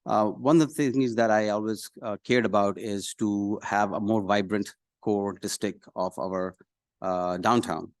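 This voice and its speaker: A middle-aged man from Pakistan introducing himself as a candidate at a public meeting, pausing often mid-phrase. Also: fairly low pitch, fairly even intonation, dry recording, studio quality. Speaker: city council candidate. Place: council meeting room, speaking at a podium.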